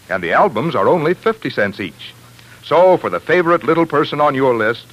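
A man's voice: medium pitch, fairly bright tone, poor audio. An American man working as a polished, salesmanlike announcer reading adverts on 1940s radio.

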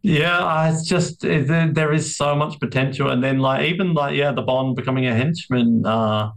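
A man talks casually and with strong opinions on a podcast. His voice sits at an average pitch. He makes his points emphatically, in stop-and-start bursts. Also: moderate pace, creaky, rough recording. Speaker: podcast co-host.

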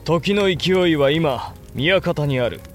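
An actor playing a brooding, resolute samurai lord and elder brother in a Japanese period audio drama, speaking gravely and with deliberate command. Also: male voice, on the high side, animated, faint music behind.

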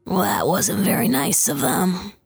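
A woman with a husky voice, playing in a tabletop role-playing podcast and chatting casually in character. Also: on the low side, sing-song, measured pace, no room sound, studio quality, faint music behind. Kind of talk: casual in-character game chat.

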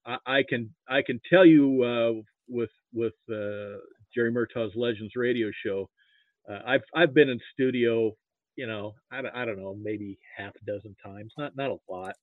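Muffled, phone-like man reminiscing, rambling and halting. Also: mid-range voice, no room sound. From Nebraska. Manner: rambling, halting, repeats words. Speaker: interview guest, sports history archivist. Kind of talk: casual reminiscing about football.

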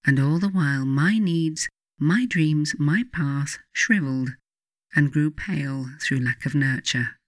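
A woman narrates in a reflective, scripted style suited to an audiobook or guided meditation. Her phrasing is measured and deliberate, broken by frequent pauses. The recording is dry, with little room sound around the voice. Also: low-pitched, no room sound. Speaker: author reading own book, guided meditation narrator.

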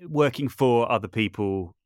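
A man's voice: medium pitch, normal speed, no echo, clean studio sound. A man talking casually as an interview guest, thinking aloud and often rephrasing as he goes. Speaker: podcast guest, composer, sound designer and voice artist.

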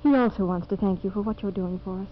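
A woman's voice: medium pitch, quick pace, natural voice. Principled, polished young woman played by an actress, firm and sincere, mid-range voice, dull vintage radio sound. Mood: gracious. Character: earnest, principled, well-spoken young woman settler. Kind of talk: 1950s radio drama acting. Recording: noisy, muffled vintage radio recording.

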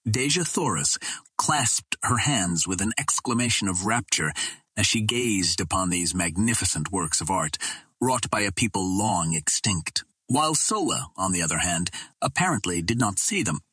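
A man reading an adventure novel aloud as scripted narration, in a measured storytelling manner. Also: sits low, animated, pushed and bright, slightly rough, no echo. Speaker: audiobook narrator.

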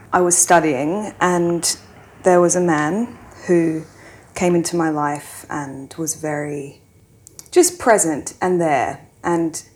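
A woman speaks as an interview guest in a casual storytelling style. Her voice is slightly low with plenty of vocal fry. She is a little hesitant and acts out bits of remembered conversation. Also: a little mellow.

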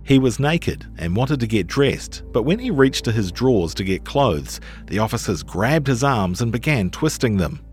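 A man's voice: medium pitch, very animated, moderate pace, few pauses, soft background music. A man with a New Zealand accent reading scripted journalistic narration for a podcast. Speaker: narrator of a long-read podcast.

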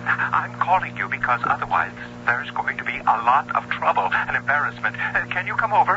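A man's voice: medium pitch, very animated, fairly quick, sharp tone, exaggerated voice. An actor, a man, playing a flustered, apologetic husband through a telephone filter in a 1970s radio drama, hesitant but urgent. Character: flustered, apologetic husband phoning late at night. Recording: tinny phone effect, noisy radio broadcast.

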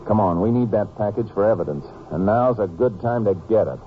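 An actor playing a steady, shrewd Texas Ranger in a 1950s radio drama, speaking and narrating in a terse, matter-of-fact way. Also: male voice, fairly low pitch, brisk, natural voice. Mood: focused, deductive. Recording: muffled old-time radio recording with music.

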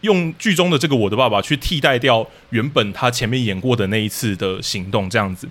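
A man's voice: mid-range voice, brisk, fairly bright tone. A man with a Taiwanese Mandarin accent talking casually as an interview guest, explaining his work as a drama director analytically.

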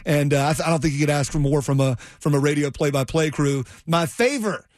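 A man's voice: on the high side, fairly quick, a little mellow. A man hosting a sports talk radio show, talking in a conversational, effusive, rambling way. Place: radio studio.

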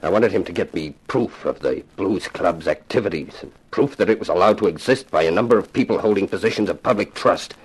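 A man's voice: low voice, breathy edge, rough recording. An actor playing a grave, formal, wealthy father hiring a detective in a 1950s radio drama. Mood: earnest, formal. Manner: formal, measured, solemn phrasing.

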